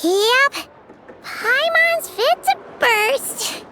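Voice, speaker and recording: A woman voicing a tiny, childlike, chirpy companion creature in a video game, with a squeaky manner. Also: expressive, very slow, bright, forceful tone, a little hiss or hum, heavily acted. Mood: gleeful. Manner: squeaky, childlike, talks in third person. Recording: game music under the voice. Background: game music.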